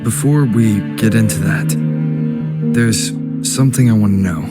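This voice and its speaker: An actor plays a reserved young-hero type of traveler in a video game. His voice sits on the low side, direct and restrained as he questions. Music is clearly heard behind him. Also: a little slow, close to an everyday voice. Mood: reserved, inquiring. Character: reserved, inquisitive male traveler, newcomer to the land. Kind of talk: video game story dialogue.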